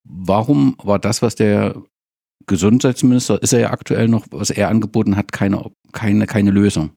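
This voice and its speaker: Man, podcast host, conversational questions, gravelly, dry close sound. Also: fairly low pitch, moderate pace, mellow, no echo. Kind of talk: podcast interview.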